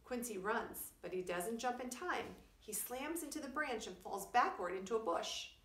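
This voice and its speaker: A woman's voice: fairly high pitch, normal speed, a bit bright. A middle-aged woman, a preschool teacher, reading a children's story aloud and explaining simply for young listeners. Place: small wood-panelled room indoors. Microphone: camera a few feet away. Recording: roomy, distant camera microphone.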